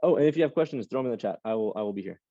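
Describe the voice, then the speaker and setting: A young man teaching a live online class on computing clusters, a casual speaker who rambles with lots of ums and restarts and sounds slightly hoarse. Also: fairly low pitch, expressive, very fast, mellow, no room sound, lo-fi sound. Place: classroom with a Zoom audience.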